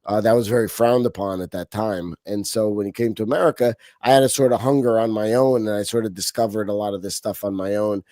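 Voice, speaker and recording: A middle-aged man who grew up in Romania, speaking as a guest in a loose, animated interview. He restarts often and fills his pauses, and the recording sounds close and dry with no room around him. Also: fairly low pitch, no echo. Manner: animated, frequent restarts and fillers. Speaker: podcast guest. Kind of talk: casual interview talk.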